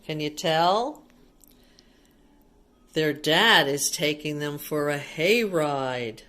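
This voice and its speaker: A woman's voice: low-pitched, lively intonation, drawn-out words, a bit bright. A woman reading a picture book aloud to young children like a teacher, explaining things simply and asking them questions.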